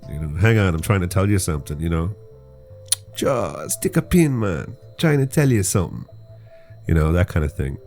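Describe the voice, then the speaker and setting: Deep-voiced rambling male podcast host. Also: sing-song, normal speed, a little mellow, soft background music. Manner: rambling, jokey, explains slang. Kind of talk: casual chat.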